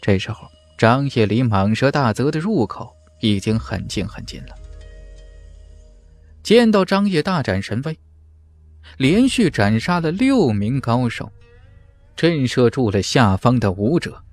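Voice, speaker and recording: A male audiobook narrator reads a fantasy tale with drama. His mid-pitched voice moves in lively swings, and music plays behind him. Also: a little slow.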